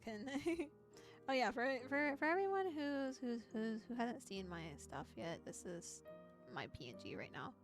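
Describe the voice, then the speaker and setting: A woman's voice: on the high side, very animated, unhurried, faint music behind. A woman chatting casually on a livestream while she plays a visual novel, with playful, giggly asides. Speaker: VTuber streamer and voice actor.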